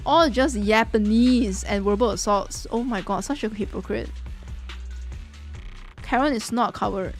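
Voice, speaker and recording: A woman live-reacting to a game story, expressive, emotionally invested and slangy, sometimes reading narration aloud. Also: medium pitch, a little slow, long pauses, faint music behind.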